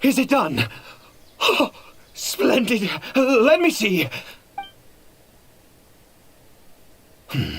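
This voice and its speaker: An actor playing an ancient cursed nobleman in a video game, frail, desperate and unstable, speaking theatrically and haltingly with erratic swings. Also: on the high side, breathy edge, heavily acted. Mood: excited, giddy. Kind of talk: dramatic video game voice acting. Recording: game audio with faint music behind.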